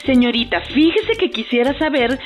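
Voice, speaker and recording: A polite pregnant caller with a Mexican accent in a scripted radio spot. Her voice is high and worried, with soft music in the background. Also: animated, normal speed.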